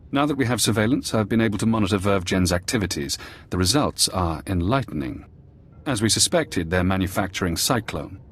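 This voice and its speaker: An actor voicing a calm, clinical imprisoned ex-operative in a video game, speaking in a measured, precise and dryly matter-of-fact way. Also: male voice, lowish voice, breathy edge. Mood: measured, resigned.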